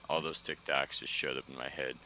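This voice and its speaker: A man's voice: very deep voice, flat delivery, fairly quick, pushed and bright, highs cut off, a little hiss or hum. A man on voice chat rambling loosely and searching for words in casual banter. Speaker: friend on voice chat.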